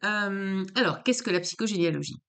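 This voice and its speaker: A woman's voice: medium pitch, expressive, brisk, creaky, fairly bright tone, smooth. A woman from France, a psychopractitioner explaining psychology in an informal talk to camera, hesitant with many fillers and repeated words.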